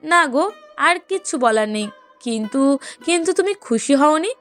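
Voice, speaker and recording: A woman voicing a proud, outspoken young wife and mother in a moral-story cartoon, with emphatic, expressive delivery. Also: sits high, moderate pace, soft background music. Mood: indignant.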